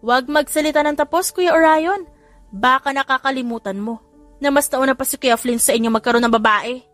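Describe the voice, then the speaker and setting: A woman narrator acts out a sweet, chatty younger sister in an audiobook romance. Her voice sits high as she teases her brothers and slips between Tagalog and English, with music underneath. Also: fairly quick, a bit bright, dry recording. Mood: teasing. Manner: mixes Tagalog with English phrases. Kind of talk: audiobook character dialogue.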